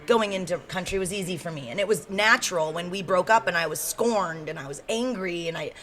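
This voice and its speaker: Low-voiced chatty woman from Seattle. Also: fairly quick, fairly bright tone, low-quality recording. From Seattle, USA. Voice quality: deeper voice. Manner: chatty, frank, frequent ums and you-knows. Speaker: country singer-songwriter guest. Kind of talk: casual interview storytelling.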